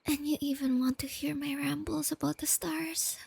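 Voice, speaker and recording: Female actor as timid, bookish young girlfriend for ASMR roleplay; soft and affectionate, creaky edges, close dry sound. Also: high voice, flat delivery, no room sound. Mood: tender, affectionate. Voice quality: soft, breathy, often whispery. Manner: hesitant, tender, halting. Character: shy, nerdy, self-doubting young girlfriend, gentle and affectionate.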